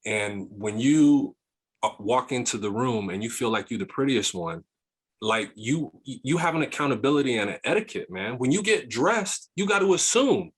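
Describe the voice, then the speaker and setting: Husky, congested man talking things through. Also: medium pitch, lively intonation, moderate pace. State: congested from a cough. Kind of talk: casual chat.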